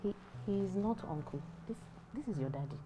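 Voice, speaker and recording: Actress doing older nigerian grandma, anxious and soothing, very expressive pitch, music behind. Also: female voice, brisk, lightly acted. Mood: gentle. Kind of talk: naturalistic film drama acting.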